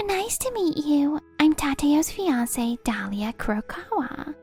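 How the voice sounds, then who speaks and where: A woman's voice: sits high, big pitch swings, unhurried, darkish tone, soft background music. A woman voicing a condescending, mock-polite young fiancée in a manga story. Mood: snide.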